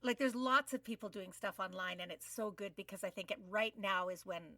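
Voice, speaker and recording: A woman's voice: mid-range voice, fairly quick, bright, forceful tone, no echo, far from the mic. A middle-aged woman co-hosting a casual podcast conversation, speaking emphatically and gushingly. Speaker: podcast co-host.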